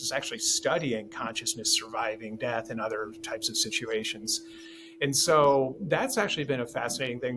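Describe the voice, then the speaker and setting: A man rambles through his thoughts as a podcast guest, circling back and restarting often. His pitch sits in an ordinary middle range. Plenty of steady noise runs under the recording. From Taiwan. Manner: rambling, many restarts and fillers. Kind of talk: casual conversation.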